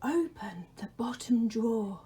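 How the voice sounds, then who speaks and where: A woman voicing the Dark in a children's storybook, an eerie, gently coaxing spirit with a creaky, breathy, hushed whisper. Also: fairly high pitch, lively intonation, a little slow, voice pushed up. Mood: quietly guiding.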